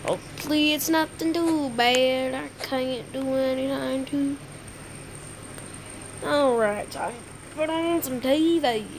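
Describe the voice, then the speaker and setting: A woman performing an amateur scripted emergency roleplay, halting and often repeating phrases, with read-out announcements. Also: sits high, noisy background. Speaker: creator performing a hobby emergency alert roleplay.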